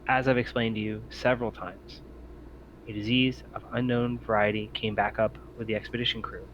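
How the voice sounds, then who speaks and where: Man playing an evasive android, muffled and low. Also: flat delivery, fairly bright tone, close to an everyday voice. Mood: impatient, formal. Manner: formal, measured, hesitant phrasing. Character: evasive, impatient male android aboard a derelict spaceship. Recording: muffled lo-fi mic with faint noise.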